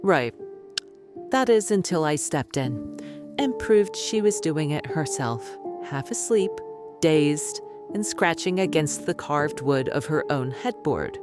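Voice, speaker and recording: A woman acting as a self-assured medical expert in a radio drama. Her voice is notably low, with music underneath. She is clinical, condescending and dismissive. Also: expressive, slow. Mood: lecturing. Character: smug, dismissive female doctor and skeptic author. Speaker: doctor, expert guest.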